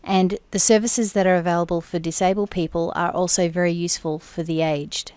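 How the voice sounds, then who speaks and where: A woman interpreting for an interview guest in the first person, speaking in measured, deliberate phrases. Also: fairly low pitch, fairly even intonation, darkish tone, smooth, dry recording. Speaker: sign language interpreter voicing the guest.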